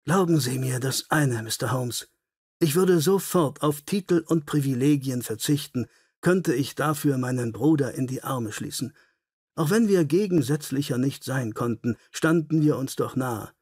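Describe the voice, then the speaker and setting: Earnest, husky English nobleman read by a man. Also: mid-range voice, normal speed, darkish tone, dry recording, studio quality, natural voice. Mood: sad, earnest. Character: earnest, melancholy English nobleman, gracious younger lord.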